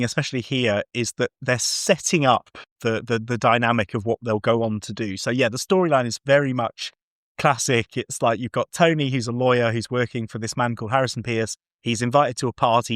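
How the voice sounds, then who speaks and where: A man's voice: mid-range voice, fairly quick, fairly bright tone, no echo. Man chatting through a review, dry close sound. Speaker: podcast co-host reviewing audio drama. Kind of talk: casual review discussion.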